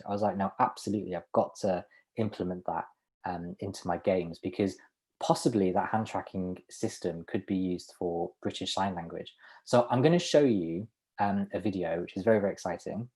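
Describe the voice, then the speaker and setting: Deep-voiced man interpreting a presentation. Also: a little mellow. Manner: halting, with restarts and small laughs. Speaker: sign language interpreter. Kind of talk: voicing a signer's presentation in first person.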